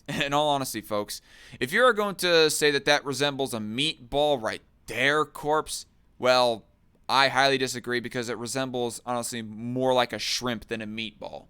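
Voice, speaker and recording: Bright, crisp-voiced man mocking on stream. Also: medium pitch, normal speed. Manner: crude, mocking, argumentative. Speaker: livestream host. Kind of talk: casual livestream commentary reacting to a clip and chat.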